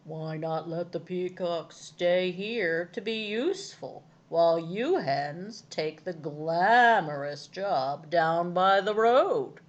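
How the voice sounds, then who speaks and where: A woman voicing a calm old male farm hound from a children's storybook, speaking in a measured way. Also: fairly low pitch, muffled recording. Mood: calm, suggesting. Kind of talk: children's storybook character reading.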